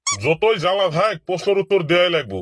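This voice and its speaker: A man voicing a gruff, exasperated cartoon schoolteacher who scolds his pupils. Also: high voice, sing-song, fast talker, background noise. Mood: demanding. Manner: scolding, demanding. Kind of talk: comedy cartoon dialogue in colloquial Bangla.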